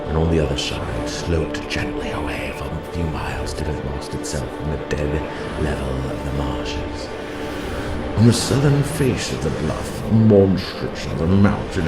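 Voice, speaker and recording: Really deep voiced guy narrating epic fantasy slow and grave, echoey, loud moody music behind. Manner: slow, solemn, archaic literary delivery. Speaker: audiobook reader. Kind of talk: dramatic audiobook narration of old fantasy prose. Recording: roomy echo, loud moody soundscape bed. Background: loud music and atmospheric soundscape.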